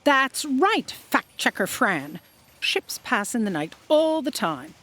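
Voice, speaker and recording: A woman's voice: on the high side, very animated, slow pace, fairly bright tone, exaggerated voice. A woman playing an imperious, scornful chief editor, bossy and pompous, in a comic sci-fi audio drama. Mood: haughty, dismissive.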